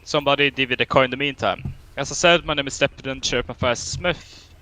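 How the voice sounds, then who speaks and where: A man's voice: medium pitch, quick pace, sharp tone, no echo, low-quality recording. A young man with a Swedish accent running a roleplay police training, stern and drill-like with dry humor. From Sweden. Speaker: training officer.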